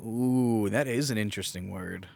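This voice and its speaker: A man's voice: fairly low pitch, moderate pace, creaky, darkish tone, no echo. A man co-hosting a podcast in a casual, bantering, joking way. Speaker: podcast co-host. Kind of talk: casual chat.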